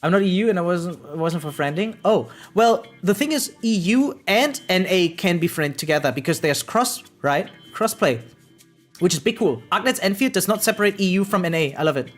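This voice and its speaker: An excitable gaming streamer chatting casually and slangily on a livestream, swearing freely. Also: medium pitch, normal speed. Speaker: variety game streamer. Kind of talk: casual livestream chat about gacha games. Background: background music.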